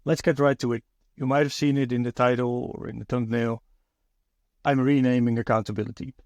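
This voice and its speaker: A man's voice: medium pitch, level tone, brisk, a little mellow, dry recording, muffled recording. A man from the Netherlands with a Dutch accent, hosting his own podcast in a thoughtful, hesitant way with many fillers and restarts. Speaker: podcast host. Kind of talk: solo podcast monologue.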